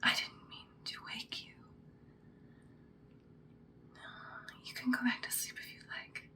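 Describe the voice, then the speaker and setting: A woman playing a seductive vampire queen in an ASMR roleplay, whispering breathily in a soothing, predatory, deliberate way. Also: bright, forceful tone, lightly acted. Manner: slow, deliberate, hypnotic soothing.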